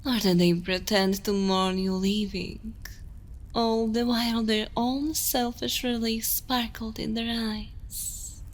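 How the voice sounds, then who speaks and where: An evil queen acted by a woman speaks extremely slowly and with total command. She is seductive and menacing with a motherly edge, often sinking into intimate whispers in an ASMR roleplay style. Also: a little high, steady tone, a little hiss or hum. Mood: cool, mocking. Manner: slow, deliberate, dominant, often dropping into whispers.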